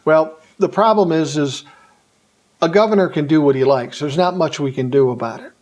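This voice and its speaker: An American man with a voice pitched a little above average delivers political commentary as a solo monologue. His phrasing is blunt and colloquial, with emphatic points. He often sets up rhetorical questions and then answers them himself. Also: normal speed, a little mellow. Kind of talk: opinionated political monologue to an audience.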